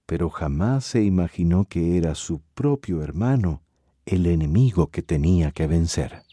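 A male radio-serial narrator with a low, mellow voice. He tells the story dramatically, his pitch moving expressively from line to line. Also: expressive, normal speed, husky. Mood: earnest, suspenseful. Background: music.

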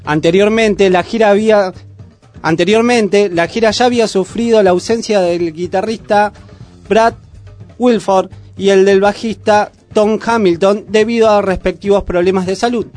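High-voiced Argentine man reading news. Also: fairly even intonation, moderate pace, a little mellow. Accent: Argentine Rioplatense. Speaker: community radio news presenter. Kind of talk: scripted radio news reading. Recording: music playing under the voice. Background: music bed.

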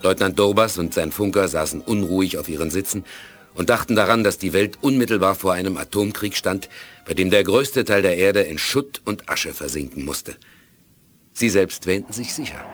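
An actor narrating a science-fiction radio play as a serious, measured storyteller. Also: male voice, deep voice, lightly acted. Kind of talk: scripted radio drama narration.

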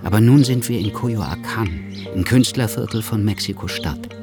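Actor as melancholy writer narrator, man, very low voice, reflective storytelling, radio play, music behind. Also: moderate pace, natural voice. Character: melancholy Cuban writer telling his tale, reflective man.

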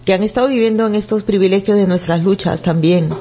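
A woman's voice: mid-range voice, fast talker, dark tone, breathy edge. A woman, a co-host talking bluntly and playfully on a radio chat.

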